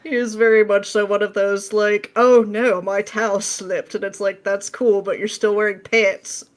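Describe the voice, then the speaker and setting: A woman's voice: mid-range voice, level tone, moderate pace. Playful, slangy woman in casual chat. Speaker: podcast guest, script writer.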